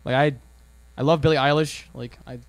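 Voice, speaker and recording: A young man with a slightly high speaking voice talks in a loose podcast chat. He hesitates and restarts his words often. The sound is dry and close. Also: steady tone, a little fast, dry recording. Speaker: band drummer, podcast guest. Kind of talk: casual chat.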